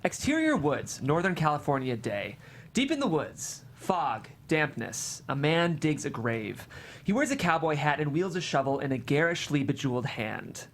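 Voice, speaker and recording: A table-read host delivers scripted stage directions. The voice is medium in pitch and highly animated, with dramatic phrasing over faint background noise. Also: moderate pace.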